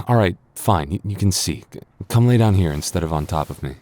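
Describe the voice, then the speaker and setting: A man acting a deep-voiced, bashful boyfriend type. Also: lively intonation, a little fast, a little mellow, dry recording. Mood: embarrassed. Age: young adult. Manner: stammering, defensive, sighing, easily flustered. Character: flustered, bashful young man, friend's younger brother with a crush. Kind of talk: intimate boyfriend ASMR roleplay.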